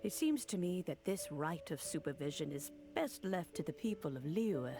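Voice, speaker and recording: A woman playing a wise older woman in a video game, calm and authoritative, with measured, gently formal speech. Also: deep voice, music in the background. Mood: calm, assured. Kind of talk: video game character acting.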